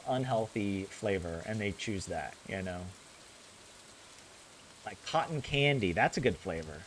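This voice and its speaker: Young american guy streaming a game, rambly and animated, bit of hiss behind him. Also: medium pitch. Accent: general American. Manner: rambling, chatty, self-correcting, laughs often. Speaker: gaming streamer. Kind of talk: casual chat with viewers while playing a farming game.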